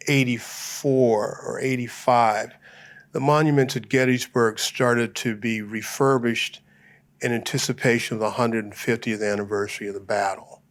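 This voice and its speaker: Older man recalling his past, reflective. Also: medium pitch. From United States. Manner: reflective, storytelling recollection. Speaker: photographer and professor. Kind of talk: public conversation at a museum.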